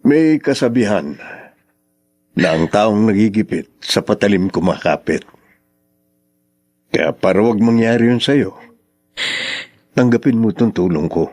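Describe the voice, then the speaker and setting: Kind generous friend in a pinoy radio drama, lowish voice, dry sound. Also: male voice, animated, a little slow, pauses often, a little mellow, dry recording, faint music behind. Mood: concerned, kind. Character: kind, generous male friend offering help. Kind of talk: Filipino radio drama acting.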